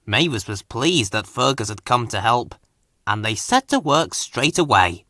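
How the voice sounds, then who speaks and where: Bright, very animated male storybook reader. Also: mid-range voice, a little slow, no room sound, clean studio sound. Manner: expressive, dramatic storytelling. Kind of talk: scripted children's story narration.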